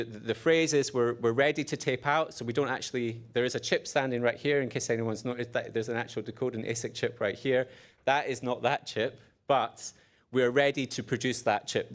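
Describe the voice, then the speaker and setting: A man with an Edinburgh Scottish accent giving a technical conference talk. He often restarts phrases and checks in with the audience. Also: mid-range voice. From Scotland. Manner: animated, restarts phrases, checks in with "right?" and "okay?". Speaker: conference speaker.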